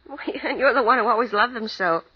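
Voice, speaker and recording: A woman playing a brisk, impatient wife. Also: on the high side, slightly rough, no echo. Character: cold, impatient young wife. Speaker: writer's wife. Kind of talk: old-time radio drama acting. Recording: dull old radio recording with faint clicks.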